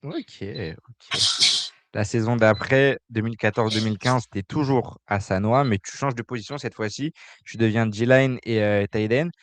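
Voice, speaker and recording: A man's voice: fairly low pitch, moderate pace, no room sound. A man hosting a podcast and interviewing his guest in a conversational way. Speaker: podcast host.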